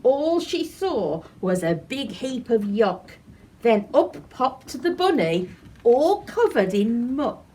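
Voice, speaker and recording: A woman reading a rhyming children's picture book aloud as a storyteller, in an animated, deliberate way. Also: fairly high pitch, breathy edge, rough recording. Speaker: library storyteller.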